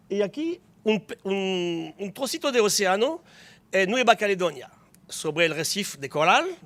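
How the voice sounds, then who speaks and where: A man's voice: high voice, a bit bright. A man, a biologist speaking Spanish as an at a conference panel, explaining with small restarts. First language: French. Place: auditorium.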